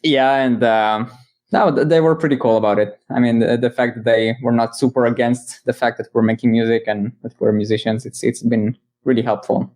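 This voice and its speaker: A man's voice: medium pitch, flat delivery, brisk, darkish tone. A man from Israel, a Hebrew speaker, talking easily as a musician guest on a podcast. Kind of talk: podcast interview.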